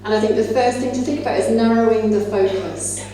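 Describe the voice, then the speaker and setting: A very echoey, distant recording of a woman presenting to teachers in a large room, with faint noise behind her. Her voice is soft-edged and mellow. She explains in a conversational, instructive way, as a plenary speaker at a conference. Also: a little high, fairly even intonation, brisk. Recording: echoey hall, distant mic, faint hum.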